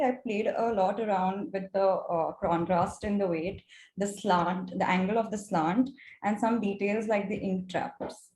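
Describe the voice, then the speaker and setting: A woman's voice: mid-range voice, a little mellow. A young woman with an Indian accent, a native Gujarati speaker, giving a prepared presentation as a student. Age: young adult. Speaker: mentorship student presenting her project. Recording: roomy echo with clear background noise.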